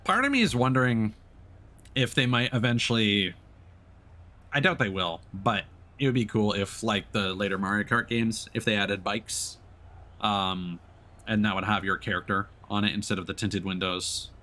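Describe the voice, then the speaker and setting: A man's voice: lowish voice, normal speed, sharp tone. A man giving casual livestream gaming commentary, chatting as a streamer and swearing casually. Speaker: game streamer. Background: game music and racing car sounds.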